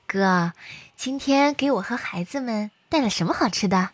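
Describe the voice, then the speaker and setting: An actress plays a down-to-earth, teasing little sister in a radio drama. She has a husky mid-range voice that moves about in animated swings. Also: medium pitch, normal speed, close to an everyday voice.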